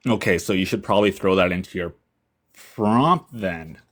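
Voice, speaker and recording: A man's voice: sits low, moderate pace. A man calling into a livestream, arguing with pointed, sarcastic questions. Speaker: livestream caller. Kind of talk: argumentative debate.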